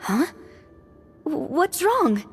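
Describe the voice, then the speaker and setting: Very high, squeaky excitable fairy girl. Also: very slow, faint music behind, exaggerated voice. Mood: frightened. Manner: exclamatory, expressive, gasping. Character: small excitable childlike floating fairy companion girl. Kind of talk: video game voice acting.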